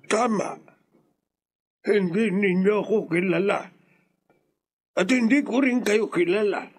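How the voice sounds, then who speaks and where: A solemn, husky old seer. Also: male voice, sits high, exaggerated voice. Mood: ominous. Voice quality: husky, slow, grave. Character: mysterious old male stranger, solemn seer. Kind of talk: radio drama acting.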